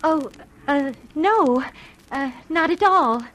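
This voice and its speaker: Prim fluttery lady boss, old radio play, slow, very up and down pitch. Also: animated, fairly bright tone, a little hiss or hum, full character voice, poor audio. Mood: flustered, fluttery. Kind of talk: old-time radio drama acting.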